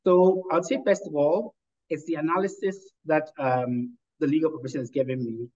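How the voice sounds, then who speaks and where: A man's voice: on the high side, fairly even intonation, warm tone, muffled recording. A man from Ghana, a lawyer and entrepreneur, answering interview questions in an articulate, analytical way. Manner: articulate, analytical, measured with fillers.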